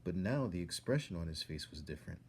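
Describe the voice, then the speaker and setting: Deep, gravelly man reading aloud. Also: lively intonation, fast talker, darkish tone. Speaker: storyteller reading a children's book aloud. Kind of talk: narrated story read-aloud.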